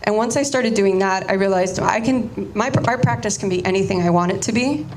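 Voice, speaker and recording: A woman's voice: medium pitch, brisk, darkish tone, a little hiss or hum. A Cuban-American woman whose first language was Spanish, giving a casual artist talk and often restarting her sentences. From United States, Cuban-American family.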